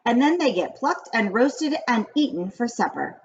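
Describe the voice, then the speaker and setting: Woman voicing an emphatic old grandmother, lively pitch. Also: moderate pace, muffled recording, slight background noise. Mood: matter-of-fact. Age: older. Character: knowing old grandmother, gravely confiding witch lore. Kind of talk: children's storybook read-aloud.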